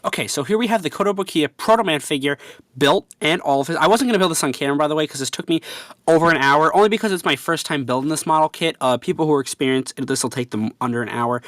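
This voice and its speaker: Male toy reviewer, congested nasal voice, fast eager chatter, dry close sound. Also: fairly high pitch, fairly bright tone, dry recording. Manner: chatty and enthusiastic, with fillers. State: stuffy, nasal from a cold. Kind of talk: casual product review.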